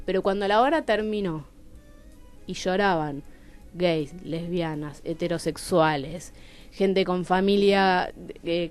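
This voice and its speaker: A woman's voice: medium pitch, smooth. A woman with a Rioplatense Argentine accent, telling personal stories expressively as a guest in a radio interview. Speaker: playwright and radio show guest. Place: radio studio.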